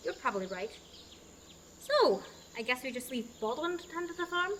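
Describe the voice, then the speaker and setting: A woman's voice: sits high, sing-song, moderate pace, a bit bright, a little hiss or hum. A young woman in a student radio drama, playing an earnest heroine who has been given a quest. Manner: earnest, exasperated at times.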